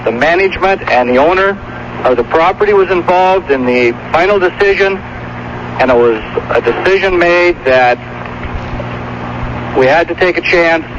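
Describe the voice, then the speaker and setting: A man, a sheriff, giving a halting, matter-of-fact statement to the press. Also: a little high, animated. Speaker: county sheriff. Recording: muffled, noisy news tape.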